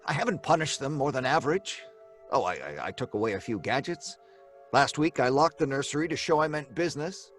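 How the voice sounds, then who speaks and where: A man's voice: mid-range voice, very animated, brisk, close to an everyday voice. An actor playing a worried father who is stern with his children, in a live audio drama. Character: worried middle-aged father. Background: faint live music.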